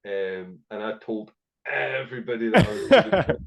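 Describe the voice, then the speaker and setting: A man's voice: lowish voice, sing-song, moderate pace, gravelly. A man with a Scottish accent telling stories as a podcast guest, swearing freely and rambling with plenty of fillers. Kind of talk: casual storytelling interview.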